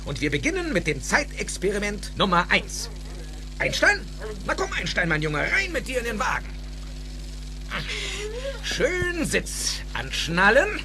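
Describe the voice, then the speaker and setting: An actor playing an excitable, eccentric older scientist in a German audio drama, theatrical and emphatic, with precise technical phrasing. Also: male voice, high-pitched, unhurried, pauses often, background noise, exaggerated voice. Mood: eager, commanding.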